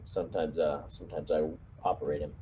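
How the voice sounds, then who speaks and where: Mellow man giving tabletop squad orders. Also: very deep voice, fairly even intonation, fast talker, slight background noise.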